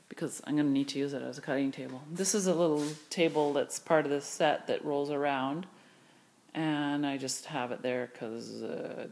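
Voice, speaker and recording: A woman filming with a handheld phone as she walks through her large indoor craft studio, chatting eagerly about her new shelves and storage. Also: low-pitched. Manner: chatty, enthusiastic, rambling with fillers. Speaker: studio owner giving a tour. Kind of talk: casual walkthrough vlog. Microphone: handheld phone camera.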